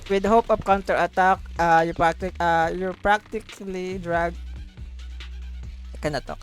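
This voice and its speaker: A woman streaming a story game, reading out dialogue and reacting in an informal, expressive way. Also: on the low side, measured pace. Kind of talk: casual let's play, reading dialogue and reacting. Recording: faint game music behind.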